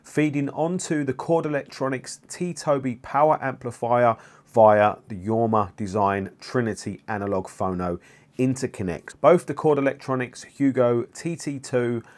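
A man's voice: mid-range voice, moderate pace. A man narrating an explanatory equipment demonstration as the reviewer and host of the show. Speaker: audio gear reviewer and channel host.

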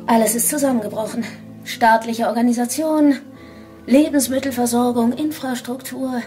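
A woman's voice: a little high, fairly even intonation, moderate pace, dark tone, lightly acted. Actress as grave woman survivor, music behind. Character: grave woman survivor explaining a virus apocalypse. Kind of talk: German radio drama acting.

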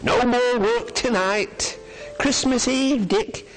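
A man voicing a merry old gentleman in a storybook, jovial and hearty, calling out in exclamations. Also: very high-pitched, slow, soft background music, pitched above the performer's own voice, rough recording.

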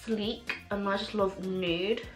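A woman's voice: medium pitch, slow. Young girl with a sore throat gushing over makeup, faint music behind. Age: young adult. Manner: gushing, excitable, many fillers. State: has a cold and sore throat. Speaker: beauty vlogger. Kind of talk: casual makeup review. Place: small room at home, indoors. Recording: echoey room with faint music.

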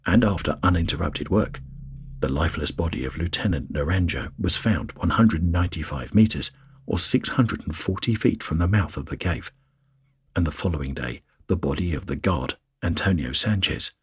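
A man reading a scripted documentary narration in a grave, measured way. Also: deep voice, breathy edge. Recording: faint music bed under voice-over.

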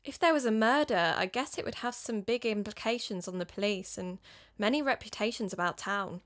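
A woman's voice: medium pitch, brisk, close to an everyday voice. A woman playing a thoughtful, cautious podcaster turned amateur sleuth in a conversational audio drama. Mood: puzzled, pondering.

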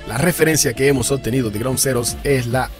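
A man's voice: mid-range voice, brisk. A man reading a scripted rundown of subwoofer specifications and prices for a car audio channel. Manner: reads spec lists and prices in an even explaining tone. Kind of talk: scripted product narration. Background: background music.

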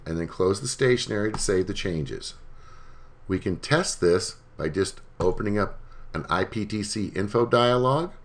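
Low-voiced guy narrating a software screencast, step by step. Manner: plain step-by-step instruction. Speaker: software tutorial presenter. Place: voice-over over screen recording.

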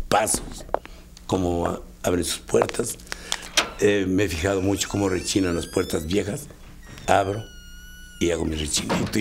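An older Mexican man reminisces in a casual interview, using homely, colloquial words. His voice is low and very creaky, with clear noise in the recording. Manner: colloquial, fond of diminutives. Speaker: sound effects artist. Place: dim indoor recording studio.

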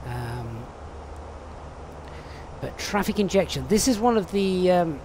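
A man's voice: high-pitched, sing-song, slow pace. Male streamer, casual live commentary, lots of fillers, noisy background. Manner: chatty, reads viewer questions aloud with many fillers. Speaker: flight sim streamer.

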